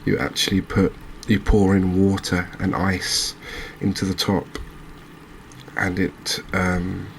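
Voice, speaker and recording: A man with an English accent giving soft-spoken ASMR game commentary in a hushed, unhurried, hesitant manner. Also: low voice, completely flat delivery, a little hiss or hum. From England. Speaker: gaming video host.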